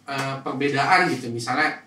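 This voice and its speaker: Man, mid pitch, Jakarta accent, casual podcast host, roomy echo, slight background noise. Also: normal speed, crowd noise. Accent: Jakarta Indonesian. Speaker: podcast host asking questions. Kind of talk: casual chat. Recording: echoey room, distant mic, background noise.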